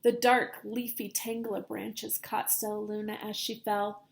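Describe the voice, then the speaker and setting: A woman teacher reading a picture book aloud to children in an expressive, dramatic storytelling style. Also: medium pitch, a little slow, gravelly.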